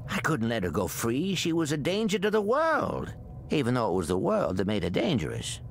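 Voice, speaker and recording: An actor playing a weary old psychic agent in a video game, guilt-ridden and cryptic, with a gravelly, halting, confessional delivery. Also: male voice, medium pitch, wildly swooping pitch, slight background noise. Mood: remorseful, haunted. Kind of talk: video game cutscene acting. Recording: loud music under the voice.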